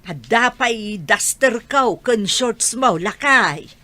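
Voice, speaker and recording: A woman's voice: on the high side, expressive, takes it slowly, pushed and bright, heavily acted. A woman playing a fond, chatty elderly village grandmother with an Ilocano accent in a radio drama. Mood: reminiscing, teasing. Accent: Ilocano, northern Philippines.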